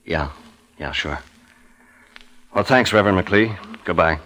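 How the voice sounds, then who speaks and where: A low-voiced, world-weary police detective in a 1940s radio drama. He talks in clipped, understated lines, like a terse cop. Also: unhurried, background noise. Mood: businesslike.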